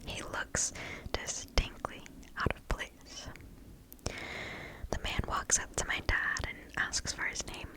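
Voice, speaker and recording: Slow, close-whispering woman reading stories. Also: low-quality recording. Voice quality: breathy whisper. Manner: slow, hushed, deliberate storytelling. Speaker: ASMR host reading stories. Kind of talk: ASMR whispered story reading. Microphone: close microphone.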